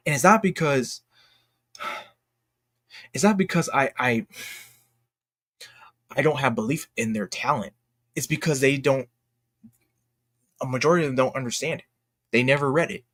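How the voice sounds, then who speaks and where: A man giving casual, opinionated livestream commentary in a blunt, emphatic way, haltingly repeating his phrases for emphasis. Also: mid-range voice, fairly bright tone, dry recording, studio quality. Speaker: streamer and commentator.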